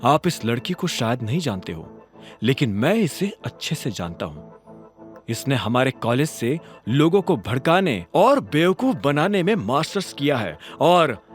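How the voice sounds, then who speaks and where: A man's voice: mid-range voice, big pitch swings, measured pace, soft background music. A man voicing a defiant, self-assured young employee in an audio drama, sardonic and confrontational. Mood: accusing. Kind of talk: Hindi audio drama acting.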